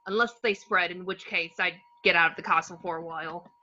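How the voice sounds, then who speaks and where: A woman plays a mischievous teenage boy in an amateur fantasy drama read. The delivery is bright, cheeky and forceful, on a slightly lo-fi microphone. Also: fairly low pitch, steady tone, a little fast. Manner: cheeky, breezy. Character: cocky, mischievous teenage twin brothers. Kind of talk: amateur online screenplay table read. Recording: slightly dull lo-fi home microphone.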